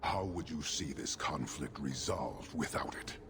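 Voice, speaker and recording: An actor playing a stern, proud horned warrior leader in a video game, speaking formally and slowly with a commanding, gravelly manner. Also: male voice, rumbling low, music in the background. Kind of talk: video game cutscene acting.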